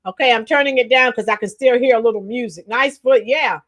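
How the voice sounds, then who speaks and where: A middle-aged woman with a hoarse, tired voice chatting casually with livestream viewers, reading out comments and singing bits of songs. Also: fairly high pitch, brisk, noisy background. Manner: chatty, reads out comments and sings along to songs. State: hoarse, losing her voice. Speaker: livestream host.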